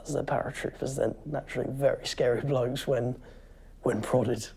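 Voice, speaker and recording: A male recruit in his late teens with a gravelly voice, talking hesitantly in an interview. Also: mid-range voice, steady tone, normal speed, a little mellow. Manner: hesitant, casual. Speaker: army recruit. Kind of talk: documentary interview. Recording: noisy with clicks behind.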